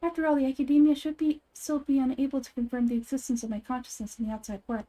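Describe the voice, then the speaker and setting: A woman voicing a calm, wise young goddess with measured, formal phrasing in a video game. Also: sits high, lightly acted. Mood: cautious.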